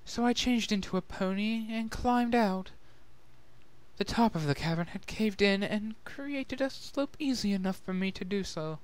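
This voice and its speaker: A man voicing a refined young female pony who tells of a sorrowful past in a soft, measured, earnest way, in a dramatic story reading. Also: high voice, clean tone, no echo, pitched above the performer's own voice. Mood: sad, reflective. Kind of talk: dramatic fan-fiction reading.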